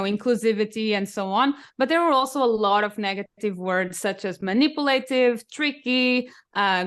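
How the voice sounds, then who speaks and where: A woman's voice: medium pitch, a bit bright, clear, resonant tone. A woman from Portugal, Portuguese as her first language, speaking as a guest on a podcast in enthusiastic casual chat. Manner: enthusiastic, laughs often.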